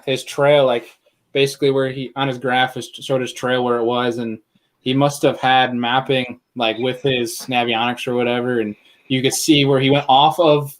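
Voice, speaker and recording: A man hosting a fishing podcast in a casual, chatty style. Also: mid-range voice. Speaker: podcast host. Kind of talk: casual chat.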